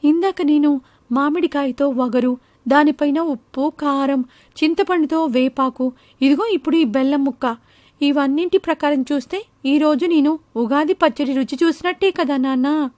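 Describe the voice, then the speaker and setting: A cheerful, curious village child, acted by a woman for a cartoon moral tale. The pitch sits very high and the sound is close and dry. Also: normal speed, darkish tone, no room sound, natural voice. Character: curious, cheerful young village girl, farmer's daughter. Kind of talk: animated moral story acting.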